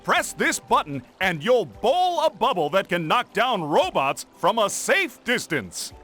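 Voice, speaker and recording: A friendly cartoon guide teaching game controls in a high voice. The intonation rises and falls sharply, with faint music behind. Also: male voice, huge pitch swings, unhurried, sharp tone, exaggerated voice. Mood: instructive. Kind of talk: game tutorial.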